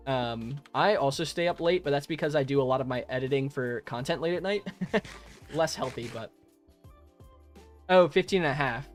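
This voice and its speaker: A man's voice: mid-range voice, normal speed, pauses often, faint music behind. A young adult man live streaming and chatting casually with viewers while he plays, friendly and rambling, with frequent laughs and restarts on a modest home microphone. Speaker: live streamer answering chat.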